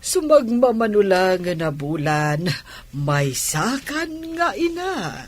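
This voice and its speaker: A woman's voice: medium pitch, big pitch swings, slow pace. A woman with a Filipino accent playing a nosy, doting middle-aged village mother who teases and exclaims in a radio comedy drama. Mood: knowing, chiding.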